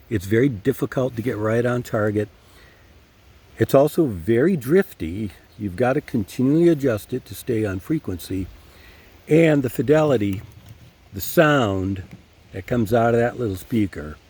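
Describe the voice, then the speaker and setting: An older man presenting a casual product review, speaking deliberately and repeating key phrases for emphasis. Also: medium pitch, warm tone, slightly rough. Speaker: host reviewing gear.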